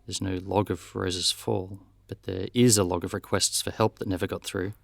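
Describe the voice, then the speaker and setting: An actor playing a brisk, matter-of-fact male detective in a scripted audio drama, businesslike and plain-spoken. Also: low voice, little pitch movement, gravelly, no room sound, clean studio sound. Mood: analytical.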